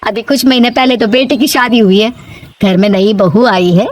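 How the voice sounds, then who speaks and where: A woman's voice: a little high, moderate pace, a little hiss or hum, lightly acted. A woman voicing a chatty, inquisitive middle-aged neighbour with a coaxing, questioning manner in a cartoon story. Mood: curious, coaxing.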